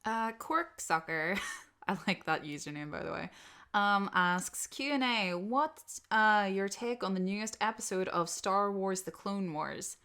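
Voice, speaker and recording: Clear, bright-voiced young Northern Irish woman. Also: medium pitch, unhurried. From Northern Ireland. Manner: candid, blunt, informal with swearing. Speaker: audio roleplay creator answering subscriber questions. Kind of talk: casual unscripted Q&A chat.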